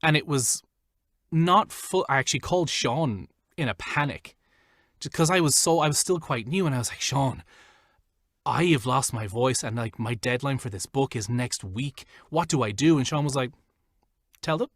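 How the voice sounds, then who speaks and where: A man's voice: medium pitch, expressive, moderate pace, fairly bright tone, dry recording. Male voiceover guest, casual and rambling, dry close mic. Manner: chatty, loose, lots of "like" fillers. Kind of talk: casual interview chat.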